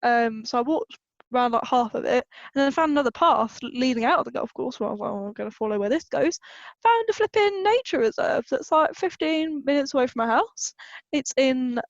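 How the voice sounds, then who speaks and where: A young British woman with a high voice chats casually and playfully, poking fun at herself. The sound is dry but a little dull and lo-fi, like a home podcast. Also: expressive, fairly quick, no echo. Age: 20s. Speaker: podcast co-host. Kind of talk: casual chat.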